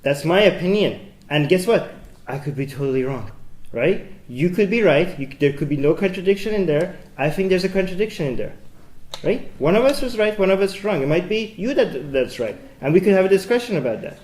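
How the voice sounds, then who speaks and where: Male panelist, quick and insistent, high voice, echoey hall. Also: expressive, darkish tone. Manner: fast, repeats himself when interrupted, insistent. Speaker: panel speaker. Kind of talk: heated Q&A debate. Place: university lecture hall.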